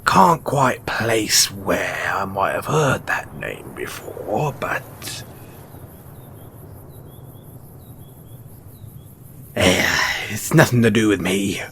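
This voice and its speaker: A man playing a shrewd, mysterious post-apocalyptic merchant in an audio roleplay, speaking in an insinuating, theatrical way with drawn-out pauses. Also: lowish voice, very animated, a bit bright, slight background noise, exaggerated voice. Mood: sly curiosity. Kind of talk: audio roleplay character acting addressed to the listener.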